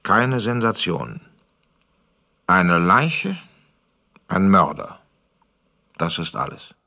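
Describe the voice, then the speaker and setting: An actor playing a jaded, resigned provincial police commissioner in a 1960s radio crime drama, weary and understated. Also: male voice, low voice, sing-song, slow pace, pushed and bright, full character voice.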